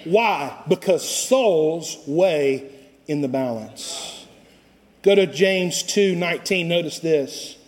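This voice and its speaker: High-voiced preacher man going hard at the pulpit, big church reverb ringing out. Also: animated, slow. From Costa Rica. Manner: impassioned preaching, swinging from low and drawn out to loud cries. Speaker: pastor. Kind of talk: sermon. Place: church sanctuary. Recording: long reverb of a church sanctuary.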